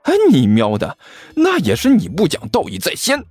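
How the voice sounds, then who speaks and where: A man voicing a brazen, stubborn, quick-witted hustler in an audiobook, arguing with sarcasm and sneers. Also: mid-range voice, very animated, soft background music. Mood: loud, accusing.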